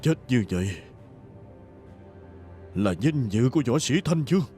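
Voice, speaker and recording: A man's voice: medium pitch, expressive, unhurried, warm tone, lightly acted. A man dubbing a proud, stern veteran warlord in a historical war drama, grave and admonishing. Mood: scornful, fierce. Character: stern, proud veteran male warlord mentoring his heir. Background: music.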